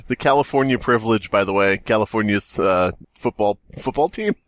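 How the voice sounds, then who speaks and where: A man's voice: fairly low pitch, brisk. An American man co-hosting a podcast in casual chat with a sarcastic, joking manner. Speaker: podcast host.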